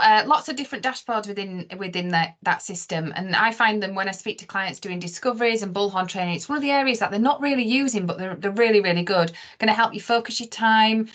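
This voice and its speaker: A woman giving an informal, conversational software walkthrough as a webinar presenter, stressing points as she explains. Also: medium pitch.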